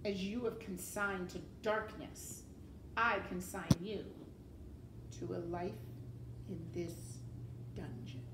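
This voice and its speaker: A woman's voice: mid-range voice, fairly even intonation, slow, pauses often, roomy sound, noisy background. A woman voicing a cruel, scornful male rat who gives orders and pompous formal threats in a storybook reading. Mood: coldly menacing.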